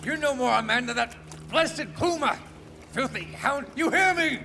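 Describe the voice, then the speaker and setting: An actor playing an enraged castaway, shouting furious curses in a theatrical live audio drama. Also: male voice, extremely high pitch, lively intonation, unhurried, creaky, faint music behind, heavily acted. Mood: desperate fury. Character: enraged castaway cursing his betrayer.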